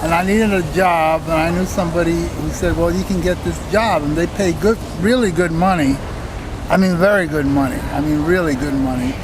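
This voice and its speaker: A man's voice: fairly high pitch, brisk, noisy background. A Lebanese-born man asking for advice in a casual outdoor chat, hesitant and anxious, stumbling and restarting often. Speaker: advice seeker. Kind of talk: casual street conversation. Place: outdoors in a city, traffic nearby. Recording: music playing over the talk.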